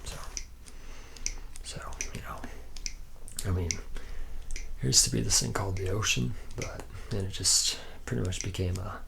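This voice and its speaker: A man's voice: low voice, steady tone, a little slow, gravelly, pauses often, exaggerated voice. A man playing a laid-back, boozy, womanizing factory worker from the future in an ASMR roleplay, rambling slangily and often dropping into a whisper. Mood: wry rambling.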